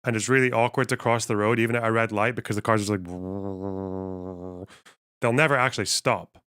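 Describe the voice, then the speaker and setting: A man's voice: fairly low pitch, fairly even intonation, moderate pace, bright, forceful tone, smooth. A man hosting a livestream, thinking aloud in a rambling, wry way while reading out chat. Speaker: livestream host.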